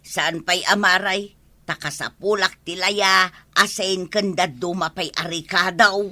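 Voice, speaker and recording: A woman's voice: lowish voice, steady tone, a little slow, pushed and bright. A woman with an Ilocano accent playing an exasperated village wife in a comedy drama. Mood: complaining. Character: exasperated village wife complaining about her husband.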